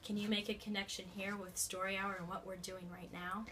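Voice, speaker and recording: A woman's voice: medium pitch, level tone, fairly quick, a bit bright. A woman teacher reading a storybook aloud expressively and putting questions to young children. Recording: faint background noise.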